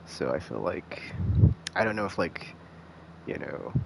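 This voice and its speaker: A man giving casual live commentary as a game streamer, rambling and halting, often restarting with "like". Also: low voice, wildly swooping pitch, creaky, background noise. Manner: rambling, halting, heavy use of filler "like".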